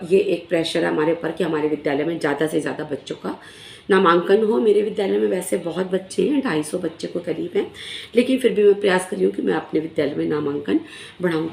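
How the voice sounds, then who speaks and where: A middle-aged woman teacher with a hoarse, recovering throat, talking earnestly to fellow teachers into a phone camera, pausing often with fillers. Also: on the low side, darkish tone, slight background noise. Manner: earnest, frequent filler pauses. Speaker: primary school teacher. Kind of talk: casual explanatory talk to fellow teachers. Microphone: phone camera in selfie mode. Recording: echoey room.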